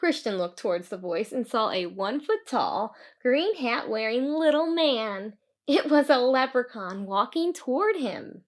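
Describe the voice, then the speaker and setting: Female children's storyteller: slightly high, very animated, unhurried, dramatic emphasis. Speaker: storyteller reading a children's book aloud. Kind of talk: expressive children's story narration.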